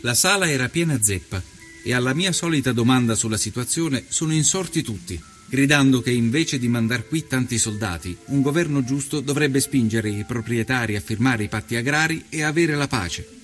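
An actor playing an earnest 1890s journalist, narrating his travels through Sicily in a measured, literary documentary style. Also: medium pitch, a bit bright, faint music behind, close to an everyday voice. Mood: indignant, reporting. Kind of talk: scripted documentary narration, read as a first-person travel report.

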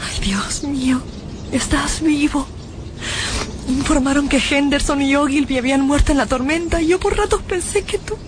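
An actress playing a tender, anxious wife in a radio drama, with a warm, emotional way of speaking over music. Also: female voice, high-pitched, moderate pace, background noise, natural voice. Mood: shaken relief. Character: tender, anxious wife relieved her husband survived. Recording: music bed behind the voice.

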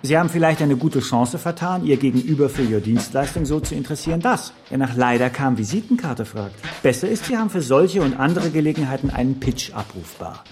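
An actor playing a slick, patronizing pitch coach who lectures didactically in a radio drama. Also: male voice, expressive, fairly quick, few pauses, darkish tone, faint music behind, lightly acted. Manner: didactic, rhetorical, peppered with business English.

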